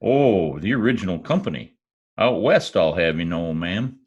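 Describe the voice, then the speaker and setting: Man putting on a folksy, bashful cowboy, swooping pitch. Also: lively intonation, normal speed, darkish tone, slightly rough, dull audio, heavily acted. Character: bashful, folksy Oklahoma cowboy with oil money. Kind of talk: old-time radio comedy-drama acting.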